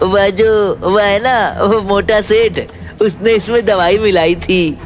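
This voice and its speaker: A giggly village madman from a cartoon, simple-minded and childish. He talks slowly and haltingly in repeated bits, high for a man, over faint music. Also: steady tone. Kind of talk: cartoon story voice acting.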